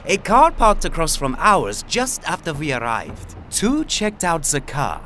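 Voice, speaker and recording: Guy doing playful german demon-like mutant hero, bright swoopy voice, audio drama. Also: on the high side, wildly swooping pitch, a little slow, slight background noise, exaggerated voice. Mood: alert.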